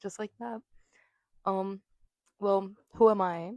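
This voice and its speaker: A woman's voice: medium pitch, steady tone, slow, dry recording. A woman in her early twenties, raised in New York, co-hosting a podcast in a chatty, giggly way with many fillers. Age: 20s. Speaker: podcast co-host. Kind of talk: casual chat. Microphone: small desk mic.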